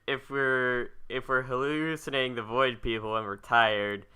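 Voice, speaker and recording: A man co-hosting a casual podcast, asking questions in a halting way and often restarting his phrases. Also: mid-range voice, flat delivery, sharp tone, clean tone. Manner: halting, repeats phrase starts.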